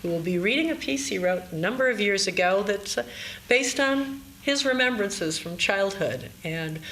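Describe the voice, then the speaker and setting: A woman's voice: medium pitch, expressive, moderate pace, fairly bright tone. A woman hosting a live event, giving an informal introduction to a reader. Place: room in a historic house, live event. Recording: echoey room with clear background noise.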